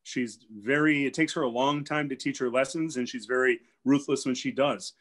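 A man's voice: mid-range voice, normal speed, a bit bright. A man in his forties, a winery sales director, talking casually and explaining things with fillers over a video call from a room at home. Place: home room with shelves, indoors. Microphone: video call webcam.

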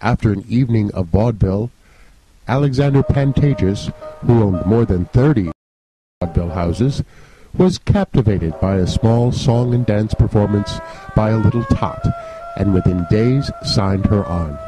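A man's voice: lowish voice, wildly swooping pitch, unhurried, music in the background, poor audio. A man reading scripted mock-documentary narration in a formal, grandiose storytelling manner.

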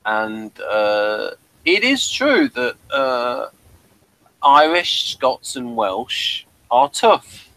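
Male review host, mid-pitched, hesitant and rambling, informal, dry recording. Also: very animated, extremely slow, a bit bright, no echo, poor audio. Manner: hesitant, rambling, addresses listeners. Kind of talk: casual episode review chat.

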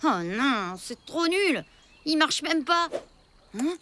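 A woman voicing a lively, playful young village boy in a children's cartoon, eager and exclamatory. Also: sits high, slow, slight background noise, exaggerated voice. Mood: disappointed. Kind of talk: French children's cartoon voice acting. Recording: loud music behind the voice.

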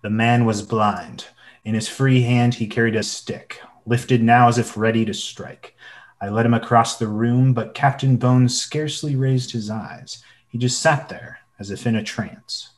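A man's voice: medium pitch, fairly even intonation, measured pace, lightly acted. An actor playing a grown man who narrates his boyhood adventure in earnest, literary storytelling. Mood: earnest, reflective. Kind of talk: scripted dramatic narration.

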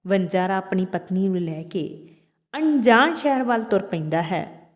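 A woman narrating a moral folk tale as a storyteller, delivering it deliberately, phrase by phrase with pauses. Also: mid-range voice. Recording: long hall-like reverb.

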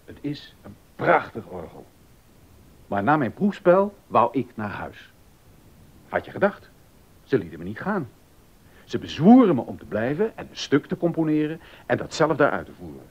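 An actor playing a stern, exacting baroque composer and organist in a docudrama, emphatic and easily indignant. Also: male voice, huge pitch swings, moderate pace, pauses often, breathy edge. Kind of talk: docudrama radio acting. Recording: dull audio with rumbling noise.

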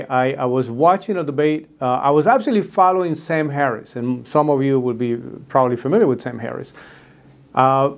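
A man's voice: fairly high pitch, darkish tone. A middle-aged man whose first language is Spanish, speaking with a Spanish accent as host in a casual talk to camera, earnest, with many fillers and restarts. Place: red-walled studio room with desk.

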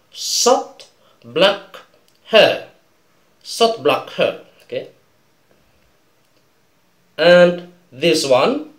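A man with an Indonesian accent teaches English pronunciation in a small room that gives his voice a noticeable echo. He talks very slowly and a little high, stretching each word out for learners and checking in with "okay?" again and again. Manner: drills words slowly, keeps asking "okay?". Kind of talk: slow pronunciation lesson. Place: small indoor room before a colored backdrop.

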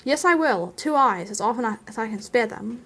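A woman voicing a grave old male wizard, stern and commanding, with a measured, advising manner in a storybook reading. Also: on the high side, expressive, a bit bright, husky, full character voice. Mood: thoughtful, wry.

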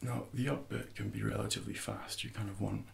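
A man in his thirties speaking softly and breathily in an ASMR roleplay as a personal trainer, often slipping into whispers while instructing. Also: lowish voice, little pitch movement, fairly quick, vocal fry, a little hiss or hum. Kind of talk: soft-spoken ASMR roleplay.

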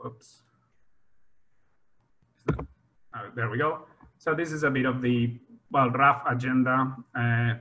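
A man's voice: medium pitch, sharp tone, poor audio. A man in his thirties from Spain with a Spanish accent, giving an online slide presentation over a video call, talking loosely with small laughs. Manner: many fillers and restarts, friendly with small laughs. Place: home room on a video call. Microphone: video call webcam.

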